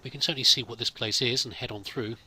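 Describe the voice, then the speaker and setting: A man's voice: medium pitch, steady tone, fast, bright, forceful tone, husky. A man musing aloud as he plays, improvising in-character commentary and talking to his companion as a let's play host.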